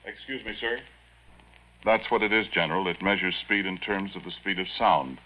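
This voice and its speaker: Low-voiced earnest young-officer type. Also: male voice, normal speed, pushed and bright. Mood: earnest explaining. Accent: American. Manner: deferential, plain-spoken. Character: earnest, bewildered male Air Force major, a pilot. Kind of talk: 1940s radio acting. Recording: muffled old radio broadcast.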